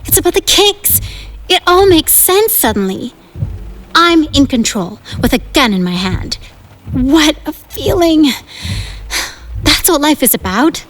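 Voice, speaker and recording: A woman's voice: sits high, sing-song, a little slow, long pauses, fairly bright tone, heavily acted. A woman playing a bossy, thrill-seeking teenage gang leader in a 1950s audio drama, dramatic and restless in manner. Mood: brooding, thrilled.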